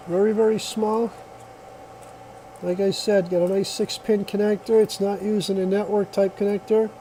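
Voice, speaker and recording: A man's voice: high-pitched, warm tone, slight background noise, rough recording. A man giving a casual hands-on product demonstration in a plainspoken, folksy, reassuring way, recorded on a phone.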